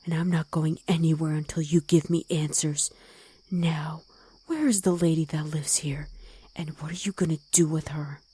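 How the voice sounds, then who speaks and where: Woman voicing a husky, guarded wolf girl. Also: sits low, steady tone, normal speed, warm tone. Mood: angry, demanding. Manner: blunt, commanding, gruffly teasing. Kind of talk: ASMR roleplay audio drama.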